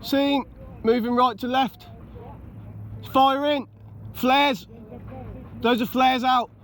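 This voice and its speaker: A man's voice: very high voice, fairly even intonation, slow. A man in combat footage, a soldier, calling out terse, urgent reports outdoors. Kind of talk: radio-style combat callouts. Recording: outdoor combat footage with loud background noise.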